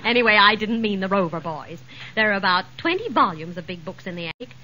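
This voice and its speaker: A woman's voice: mid-range voice, expressive, fast talker, pushed and bright. An American woman acting a patient, sweetly exasperated middle-aged wife and mother in a 1940s radio comedy. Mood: practical. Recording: old muffled 1940s radio recording, noisy.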